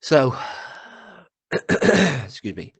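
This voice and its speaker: A man's voice: mid-range voice, very animated, extremely slow, slightly rough, no room sound. A man with a cold, co-hosting a podcast in casual chat, swearing and tripping over his words. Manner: rambling, swears, stumbles and repeats words. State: has a cold. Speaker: podcast co-host.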